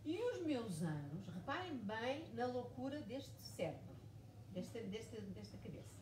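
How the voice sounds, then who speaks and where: A woman's voice: a little high, measured pace. Emphatic woman in an echoey bookshop. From Mozambique. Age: 40s. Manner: emphatic and repetitive, animated storytelling. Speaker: author guest. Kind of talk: conversation at a live book event. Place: bookshop event room. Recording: echoey room, far mic, noisy.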